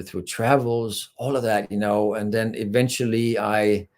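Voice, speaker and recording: Guy, lowish voice, earnest rambly spiritual talk, lots of you know. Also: darkish tone. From Denmark. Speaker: guest, spiritual channeler. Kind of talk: casual interview talk.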